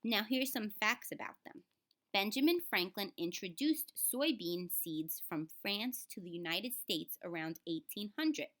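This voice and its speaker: A woman's voice: medium pitch, lively intonation, measured pace, a bit bright. A woman reading a children's book aloud in a teaching way, speaking straight to the children and adding simple explanations. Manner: instructive, addresses children directly, sounds out words.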